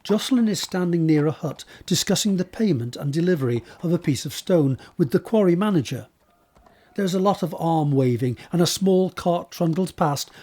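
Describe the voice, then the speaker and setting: A man reading scripted scene descriptions as a narrator, in a measured, descriptive way. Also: on the high side, mellow. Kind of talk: scripted narration of stage directions.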